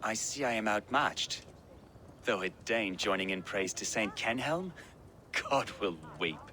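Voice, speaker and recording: Pious young villager, video game, sour, disdainful, lively intonation, noisy ambience. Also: male voice, on the low side, measured pace. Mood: reluctant, stiff. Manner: sardonic, sanctimonious. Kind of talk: video game cutscene acting.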